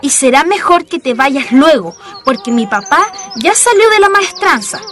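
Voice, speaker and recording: A woman's voice: sits high, expressive, moderate pace, fairly bright tone, soft background music. A woman acting as a feisty, exasperated Chilean schoolgirl in a radio drama, scolding and sassy. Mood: annoyed, indignant.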